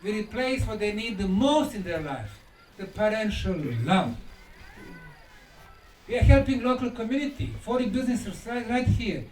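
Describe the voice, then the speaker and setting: High-voiced Bosnian man lecturing in an echoey room. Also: expressive, normal speed, darkish tone, slight background noise. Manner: emphatic, short declarative phrases with rhetorical questions. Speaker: lecturer and researcher. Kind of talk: live conference lecture. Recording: echoey hall from far off, music behind.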